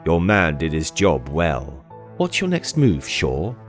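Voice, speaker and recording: Man playing a very deep, slow schemer. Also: huge pitch swings, soft background music, heavily acted. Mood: smug, amused. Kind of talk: audio drama acting.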